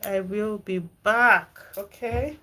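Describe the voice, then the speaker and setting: A woman's voice: medium pitch, fairly even intonation, takes it slowly, very noisy. A Nigerian woman hosting a gardening live stream, chatting casually with viewers and answering their comments. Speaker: gardening channel host. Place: home garden and kitchen.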